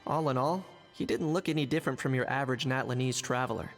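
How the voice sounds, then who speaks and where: A man playing a composed, observant investigator in a video game, narrating in a measured, analytical way. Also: mid-range voice, big-room sound, faint music behind, close to an everyday voice. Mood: calm, explanatory.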